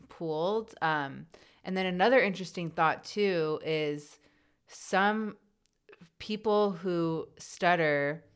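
A woman who stutters, interviewing a guest in an earnest, reflective way, with repeated sounds and pauses. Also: deep voice, clean tone. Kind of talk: conversational interview.

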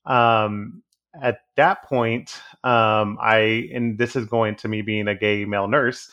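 Easygoing man, lowish voice. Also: level tone, fairly bright tone, muffled recording. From Texas. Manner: easygoing, halting with many fillers. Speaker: guest, hospice nurse. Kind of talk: casual podcast interview.

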